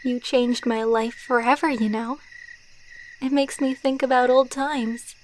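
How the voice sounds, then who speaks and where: ASMR roleplay: actress as female vampire lover, ageless and sentimental. Fairly high, gentle, intimate delivery, taken very slowly. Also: little pitch movement, slight background noise. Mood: tender, sentimental. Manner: soft, intimate, unhurried, sentimental. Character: tender, wistful immortal female vampire girlfriend.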